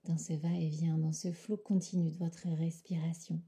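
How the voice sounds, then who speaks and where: A woman guides a relaxation session in a very dark, mellow voice. It is extremely breathy and often slips toward a whisper. Her phrasing is calm, soothing and unhurried, pitched on the low side. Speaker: yoga nidra guide.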